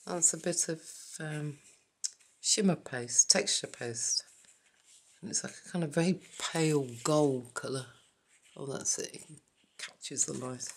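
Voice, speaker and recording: Woman, croaky, hoarse, quiet, unhurried, halting, crafting flip-through. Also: deep voice, a little hiss or hum. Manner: quiet, halting, naming items one by one. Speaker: papercraft channel host. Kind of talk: casual journal flip-through.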